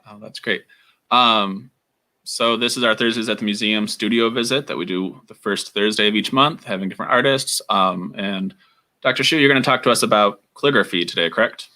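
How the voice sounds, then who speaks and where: A man's voice: lowish voice, fairly quick, pushed and bright. A man working as a studio coordinator, interviewing a guest in a casual, upbeat way with many fillers.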